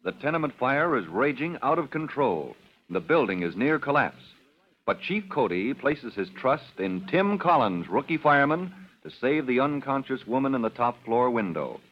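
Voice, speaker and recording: Male radio announcer, dramatic narration, lively intonation, dull lo-fi sound. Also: mid-range voice, normal speed. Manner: dramatic, addressing children. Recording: dull lo-fi old radio recording.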